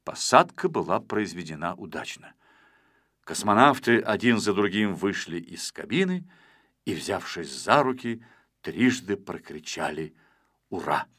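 Male narrator for a children's adventure audiobook: bright tone, highly varied intonation, expressive scripted reading. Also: measured pace.

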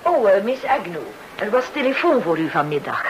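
An actress plays a modest widowed landlady in an old radio play with clear background noise. She is obliging and a touch flustered, her intonation swinging freely up and down. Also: mid-range voice, sing-song, moderate pace, lightly acted. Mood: helpful. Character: Mrs Barclay, polite, modest widowed landlady. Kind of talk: Dutch-language radio drama acting.

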